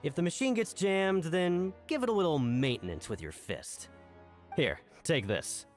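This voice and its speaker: Gruff prison factory boss, game character, barks orders, huge pitch swings, bit of music. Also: male voice, sing-song, unhurried. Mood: bossy, instructing. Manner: stern, barking, condescending. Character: gruff, bossy, bullying prison factory foreman. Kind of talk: animated video game voice acting.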